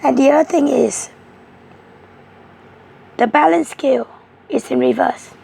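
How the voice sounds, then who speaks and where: A woman with a hoarse, strained voice slowly explaining tarot cards. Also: high-pitched, darkish tone. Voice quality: rough, strained voice. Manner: slow, halting, repetitive explaining. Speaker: tarot card reader.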